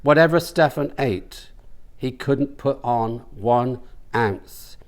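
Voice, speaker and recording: A man reading comic fiction aloud as the author, with deliberate dramatic pauses. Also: medium pitch. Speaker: author reading from own novel. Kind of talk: comic audiobook-style narration.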